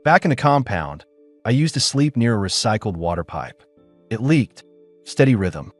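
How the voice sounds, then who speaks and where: A man voicing a quiet, wounded young alien woman who speaks low and direct. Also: big pitch swings, moderate pace, dry recording, faint music behind. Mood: soft, earnest.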